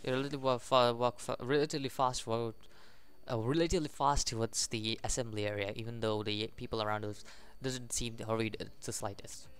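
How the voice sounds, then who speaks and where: Young man reading game text haltingly. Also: mid-range voice, steady tone, fairly bright tone, clean tone. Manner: halting reading, stumbles over words. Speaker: gaming YouTuber. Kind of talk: casual let's play, reading game text aloud with commentary.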